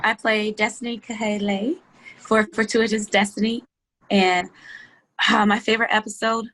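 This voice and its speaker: A woman chatting casually on a group video call, animated and slangy, often giggling. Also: mid-range voice, normal speed, rough recording. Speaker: cast member being interviewed.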